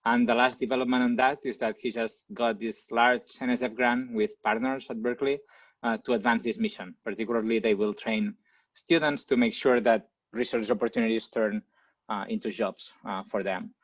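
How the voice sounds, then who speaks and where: A man hosting an online seminar, giving a semi-formal introduction in short, halting phrases over a video call. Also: mid-range voice, fairly even intonation, dry recording, dull audio. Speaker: seminar host introducing the speaker.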